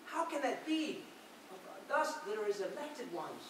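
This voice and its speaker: A man playing an earnest, devout fisherman disciple in a stage monologue, declaiming his story in a measured way. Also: high-pitched, very animated, exaggerated voice. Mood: troubled. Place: large reverberant indoor hall, recorded from afar. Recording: very echoey hall, distant mic, noisy.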